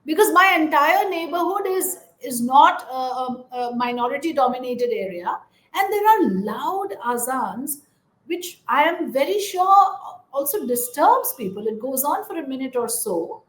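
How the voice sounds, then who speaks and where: A woman's voice: high-pitched, expressive, a little slow. A woman conducting a news interview in a conversational, earnest and emphatic manner. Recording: roomy, echoing voice.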